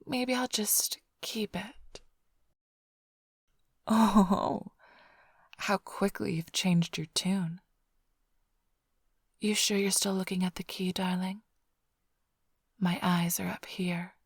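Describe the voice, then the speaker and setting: A woman playing a flirtatious, smug immortal vampire thief in an ASMR roleplay, teasing the listener in a breathy, half-whispered, languid drawl. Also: medium pitch, fairly even intonation, slow, long pauses, dry recording, clean studio sound. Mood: playful, flirtatious. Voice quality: breathy, often sinking into whisper.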